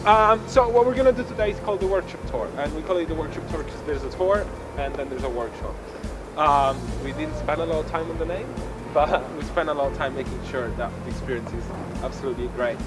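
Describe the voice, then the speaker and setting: Man, tour guide, casual and chatty, slightly high voice, loud background music. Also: fast. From born in Italy, raised in Colombia. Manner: chatty, relaxed, with fillers. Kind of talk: casual explaining on a walking tour. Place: outdoors on a city street.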